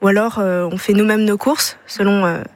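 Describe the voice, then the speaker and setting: A woman's voice: medium pitch, steady tone, moderate pace, no room sound. Hesitant young woman, radio guest. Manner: hesitant, frequent filler sounds. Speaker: student charity vice-president. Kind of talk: radio interview answers.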